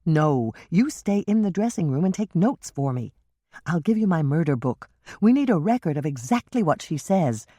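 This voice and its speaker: A determined woman sleuth with a dry, steely edge , read by a woman narrating a storybook. She talks calmly and firmly in a lowish voice. The sound is dry and close to the mic. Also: moderate pace, no echo.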